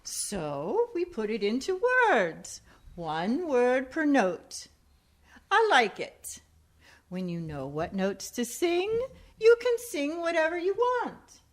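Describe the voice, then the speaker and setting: Woman playing a high, lilting singing governess. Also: sing-song, takes it slowly, heavily acted. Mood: encouraging, instructive. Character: instructive singing governess leading pupils through notes. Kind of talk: musical parody radio play.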